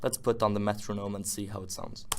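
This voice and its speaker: A man narrating an unscripted software tutorial, thinking aloud in a rambling way as he demonstrates. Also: fairly low pitch, level tone, fast. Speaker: music production tutor, host.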